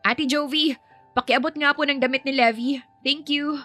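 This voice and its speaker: A woman's voice: sits high, steady tone, normal speed, sharp tone, soft background music, voice pushed up. Woman acting a proud, earnest young nanny. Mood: tender, soothing. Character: proud young housemaid nanny, polite, hurt but tender.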